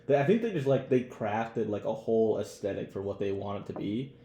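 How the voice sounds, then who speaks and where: Casting: young American male podcaster, low and mellow, loose slangy delivery that rambles, roomy noisy recording. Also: animated, fairly quick. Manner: rambling, heavy use of "like" and "bro". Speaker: podcast co-host. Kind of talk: casual, slangy pop-culture chat. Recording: roomy echo, clear background noise.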